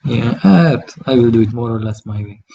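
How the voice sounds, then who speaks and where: A man from Hungary, speaking English with a Hungarian accent, talks through a live drawing tutorial, thinking aloud in a halting way as he works. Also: medium pitch, lively intonation, gravelly, highs cut off, slight background noise. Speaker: digital artist streaming his work.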